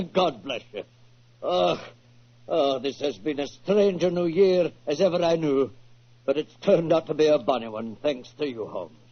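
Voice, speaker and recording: Through a dull old radio recording comes a hearty old Scottish laird. His husky, fairly high voice swings up and down with animation, in an old-time radio drama manner. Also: animated, a little slow. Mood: grateful, merry. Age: older. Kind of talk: 1940s radio drama acting.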